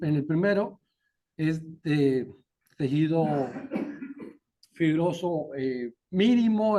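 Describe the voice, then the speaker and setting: Colombian man presenting research, relaxed fillers. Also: on the high side. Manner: relaxed, frequent "¿no?" tags and "eh" fillers. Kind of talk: academic talk and Q&A.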